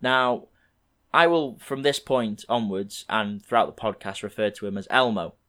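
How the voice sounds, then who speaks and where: A young man in his twenties from England, hosting a podcast and talking through a rambling solo intro in a slightly croaky voice. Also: lowish voice, normal speed. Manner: relaxed, rambling, with frequent fillers. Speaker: podcast host.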